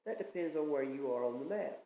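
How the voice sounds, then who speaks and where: A man's voice: fairly high pitch, animated, fairly quick, warm tone, dull audio. A man in his thirties with a Southern US accent, preaching an informal message in an earnest, rambling, plain-spoken way. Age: 30s. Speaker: pastor. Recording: echoey room with faint ambience.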